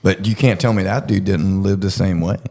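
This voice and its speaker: A man's voice: low voice, a little fast, vocal fry, warm tone. A man chatting casually about true crime in a blunt, profane way.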